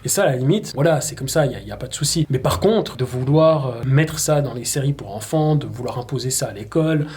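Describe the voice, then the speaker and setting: A man's voice: mid-range voice, brisk, hardly any pauses, a little mellow, husky. A young man, a YouTuber giving casual, opinionated commentary in an emphatic, colloquial, exasperated way. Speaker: YouTuber and streamer.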